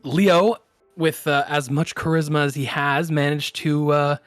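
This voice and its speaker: A man acting as game master, casually and haltingly recapping a tabletop game session. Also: on the high side, fairly even intonation, dry recording, faint music behind.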